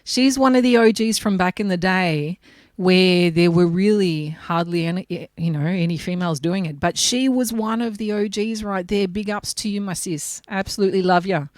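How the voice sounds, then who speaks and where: A woman DJ chatting as a guest, a bit hoarse and raspy from a cold, telling stories with lively enthusiasm. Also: lowish voice, moderate pace, no room sound, studio quality. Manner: animated, enthusiastic storytelling. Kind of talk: casual chat.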